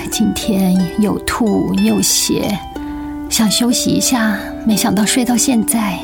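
A woman's voice: medium pitch, fairly even intonation, slow, gravelly, over music. A woman playing a weary, self-doubting sick young mother in a radio drama, with a Taiwanese Mandarin accent. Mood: weak, apologetic. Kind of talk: dramatic radio play acting.

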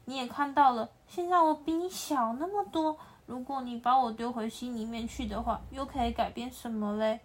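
A woman's voice: a little high, normal speed, few pauses, lightly acted. A woman voicing a lion in a children's story, pleading and bargaining in a sing-song, coaxing way. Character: pleading lion, sly then sorry.

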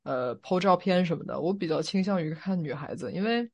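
A woman's voice: lowish voice, expressive, brisk, a little mellow, husky, dull audio. A woman co-hosting a podcast, giving her opinions in casual chat and explaining them reflectively, point by point. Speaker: podcast co-host.

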